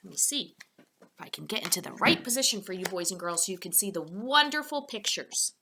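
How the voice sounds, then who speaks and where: A woman's voice: medium pitch, expressive, fairly quick, background noise. A woman teacher reading a children's storybook aloud, expressively, with asides spoken directly to her young listeners.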